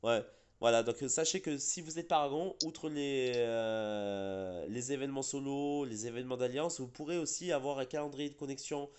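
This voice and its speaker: A man talks through a game session in a loose, rambling way, full of fillers and restarts. He sounds a little congested, as if sick. His voice sits at an ordinary middle pitch. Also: moderate pace, a little mellow, clear, resonant tone. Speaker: gaming channel host. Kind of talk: casual gaming chat.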